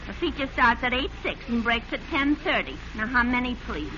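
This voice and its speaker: An actress playing a brisk, unimpressed box-office clerk with tart patter in 1940s radio style. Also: female voice, on the high side, animated, natural voice. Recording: muffled, faintly noisy vintage radio recording.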